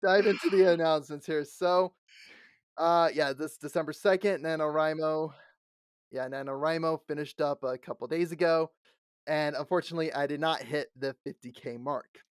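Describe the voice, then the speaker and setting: A man's voice: on the high side, fairly even intonation, normal speed, smooth, dry recording. Late-twenties man, laughing, dry close mic. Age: late 20s. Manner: laughs often, acts out quoted voices. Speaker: podcast co-host. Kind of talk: casual chat.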